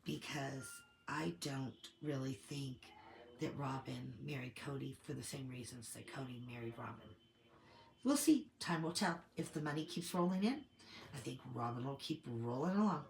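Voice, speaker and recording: A woman co-hosting a commentary show, getting over a cold and slightly hoarse, giving her opinions in a measured, thinking-aloud way. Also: very deep voice, lively intonation, echoey room, a little hiss or hum, rough recording. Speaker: co-host of a TV commentary channel.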